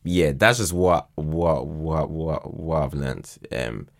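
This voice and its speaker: A man chatting casually as a podcast guest, often repeating words haltingly as he thinks aloud. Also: rumbling low, vocal fry. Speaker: podcast guest, fiction podcast writer. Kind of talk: casual conversational interview.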